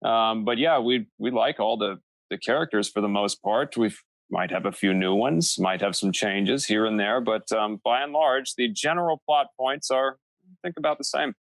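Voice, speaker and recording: A man's voice: on the low side, normal speed, no echo. A man speaking as a podcast guest in a casual panel discussion, explaining creative work in a rambling, self-interrupting way.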